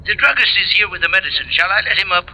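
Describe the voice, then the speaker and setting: An actor playing a brisk, obliging apartment doorman in a 1950s radio drama. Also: high voice, animated, sharp tone, full character voice, rough recording. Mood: businesslike.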